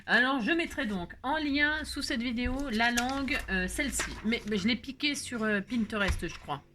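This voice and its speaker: A woman's voice: a little high, moderate pace, pushed and bright, slight background noise. Rambling, jokey woman chatting casually. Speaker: craft video host. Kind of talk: casual chat.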